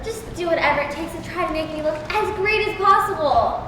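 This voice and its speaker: A woman's voice: very high voice, fairly quick. A woman acting a bossy, melodramatic girl with emphatic delivery in an amateur stage comedy. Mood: melodramatic, anxious. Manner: emphatic, dramatic. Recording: echoey distant hall, clear noise.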